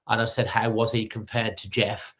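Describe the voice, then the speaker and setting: A man's voice: fairly low pitch, level tone, moderate pace, fairly bright tone. A middle-aged man with a stuffy nose from a cold, hosting a casual fan-channel live update with many ums and restarts.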